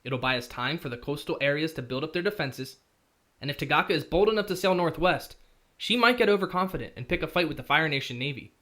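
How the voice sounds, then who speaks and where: A man's voice: a little high, animated, fast talker, pushed and bright. An actor playing an earnest, sceptical young male student hero in a visual novel, with a questioning manner. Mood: resolute.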